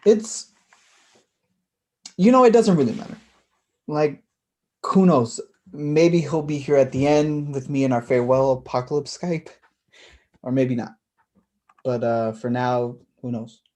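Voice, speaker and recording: A woman's voice: extremely low pitch, measured pace, pauses often, mellow. A woman acting a mild, wistful, evasive young man in a staged play reading, in a casual, hesitant way.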